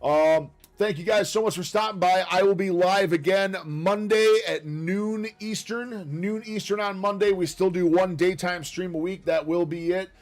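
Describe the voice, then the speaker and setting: A man hosting a casual livestream, reading notes aloud with chatty asides, his voice slightly raspy from a long stream. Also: sits high, steady tone, normal speed, hardly any pauses, faint music behind. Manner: chatty and upbeat, quiet asides while reading. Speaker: livestream host.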